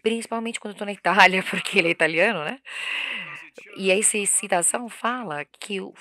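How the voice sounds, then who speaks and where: A woman giving live interpretation of a lecture, halting and often correcting herself mid-word. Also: medium pitch, bright, forceful tone. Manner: halting, with frequent self-corrections and restarts. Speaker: simultaneous interpreter.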